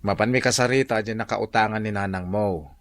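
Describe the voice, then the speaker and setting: A man's voice: on the low side, brisk, no echo, close to an everyday voice. A man with an Ilocano accent playing a blunt, scolding farmer husband and father in a comedy radio drama, given to exclamations. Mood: firm, explaining.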